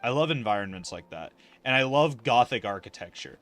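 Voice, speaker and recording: A man's voice: medium pitch, expressive, fairly quick, a bit bright, faint music behind. A man casually commentating a livestream of an online fantasy game, rambling and thinking aloud with casual swearing.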